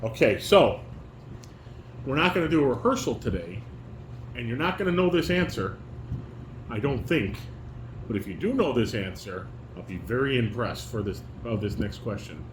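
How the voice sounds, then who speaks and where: A man's voice: medium pitch, sing-song, normal speed, pauses often. Male, instructive dad talking to a child, firm and quizzing, roomy echo with noise behind. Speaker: father leading a family table read. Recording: echoey room, background noise.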